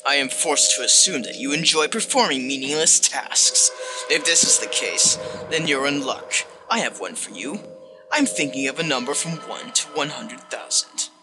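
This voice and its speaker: A man voicing a sinister, contemptuous disembodied game narrator, delivered in a condescending, theatrical and deliberate way. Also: mid-range voice, animated, over music, close to an everyday voice, rough recording. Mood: mocking contempt. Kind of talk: dramatic reading of a text-based game.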